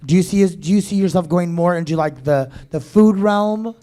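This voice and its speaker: A man's voice: high voice, moderate pace, warm tone. A man hosting a casual talk show, playful and campy, teasing his guest. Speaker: podcast host. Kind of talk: casual chat.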